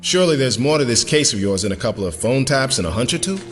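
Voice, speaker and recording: An actor playing a brusque, indignant small-town defense lawyer, protective of a client, in an audio drama. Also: male voice, mid-range voice, very animated, quick pace, long reverb tail, soft background music, close to an everyday voice.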